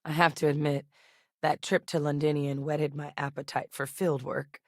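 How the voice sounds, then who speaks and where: An actress playing a composed, frank military scientist in a space video game, speaking in a measured, articulate way. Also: female voice, low-pitched, level tone, no echo, studio quality. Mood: frank, wry. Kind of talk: acted video game dialogue.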